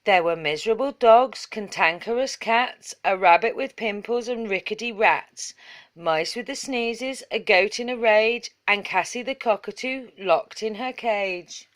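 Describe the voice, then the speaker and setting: A woman reads a children's story aloud at a slow pace. Her delivery is measured and follows the rhythm of the rhyming verse, with a middling pitch. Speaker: story reader.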